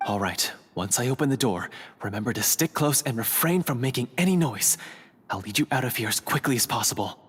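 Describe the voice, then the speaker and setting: A male actor voices a secret ally posing as a dungeon guard in a fantasy game. He is solemn and formal, keeping a hushed, courteous tone. The mid-range voice rings with long reverb over a noisy background. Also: medium pitch, expressive, fairly quick, natural voice. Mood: solemn, encouraging.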